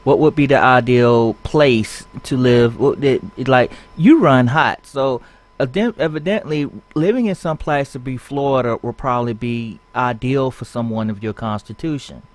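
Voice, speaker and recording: A man's voice: mid-range voice, normal speed. A man hosting a talk show from a home studio, asking rambling questions with restarts. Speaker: host. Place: home studio with audio gear.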